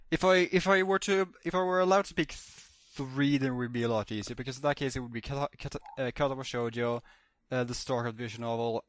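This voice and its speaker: A man's voice: mid-range voice, smooth, dry recording. A man chatting casually as a podcast guest, hesitant and often repeating himself. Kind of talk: casual chat.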